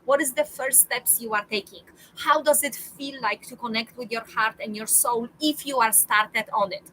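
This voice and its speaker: A woman's voice: a little high, moderate pace, bright, forceful tone, a little hiss or hum, rough recording. A woman, giving a motivational talk to her viewers and repeating phrases for emphasis. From Poland. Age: 40s. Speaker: spiritual coach.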